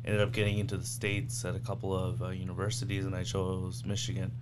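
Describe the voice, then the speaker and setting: A man in his thirties, originally from Bangladesh, talking as a guest on a podcast in a relaxed, slangy way with lots of "like" and "um". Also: low voice, fast, background noise. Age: 30s. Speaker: guest, physician. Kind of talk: casual podcast conversation.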